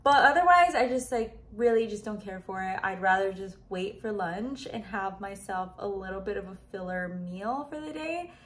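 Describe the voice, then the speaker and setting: A woman's voice: medium pitch, normal speed, hardly any pauses. A young woman in her twenties chatting casually to the camera at home, upbeat and full of "like" and "just". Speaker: lifestyle vlogger. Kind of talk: casual vlog chat to camera. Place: home living room and bedroom.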